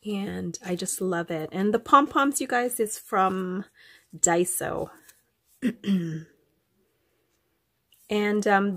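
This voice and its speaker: Slow-talking woman with a nasal, stuffy voice. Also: mid-range voice. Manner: rambling, many ums, warm and chatty to viewers. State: stuffy from allergies. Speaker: craft vlogger. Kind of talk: casual show-and-tell chat.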